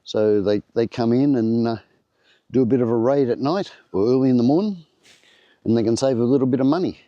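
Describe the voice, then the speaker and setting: A man's voice: mid-range voice, fairly quick, no echo, muffled recording. An older Australian man chatting casually to his vlog audience. He rambles along with lots of fillers and restarts, and his voice still sounds slightly off from the flu. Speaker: vlogger. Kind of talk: casual chat to camera. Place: at his home by a greenhouse.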